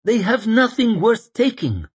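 A man's voice: high voice, very animated, measured pace, breathy edge, voice pushed up, full character voice. A man voicing an earnest, idealistic young priest who pleads passionately. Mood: earnest pleading. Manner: pleading, impassioned.

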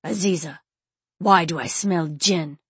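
A woman plays a grim male enforcer in an audiobook. He speaks low and gravely, with restrained anger and a slight growl. The sound is close and dry. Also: no room sound. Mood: grim, stern. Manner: grave, measured. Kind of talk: audiobook character acting.

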